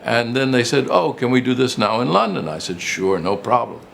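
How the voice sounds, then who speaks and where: A man's voice: medium pitch, sing-song, normal speed, creaky, fairly bright tone, slight background noise. An older man, a retired professor and writer, reminiscing casually in an interview, rambling and repeating phrases for emphasis.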